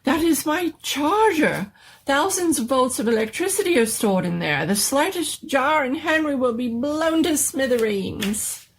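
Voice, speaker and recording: A woman's voice: sits high, lively intonation, a little slow, voice pushed up. A woman voicing a fussy, old-fashioned male doctor with a pompous, exclamatory manner in a dramatic story reading. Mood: panicked shouting.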